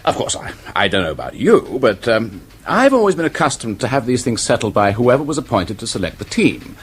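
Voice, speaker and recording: A man's voice: medium pitch, big pitch swings, brisk, husky. An actor playing a breezy, rather superior office man of the public-school type in a period radio drama. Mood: condescending. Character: clubbable British male copywriter, public-school type, tactless and superior.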